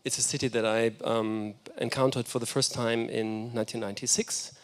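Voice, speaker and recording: A man's voice: mid-range voice, little pitch movement, a little fast. A man whose first language is German, giving an enthusiastic, reflective architecture lecture. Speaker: guest lecturer.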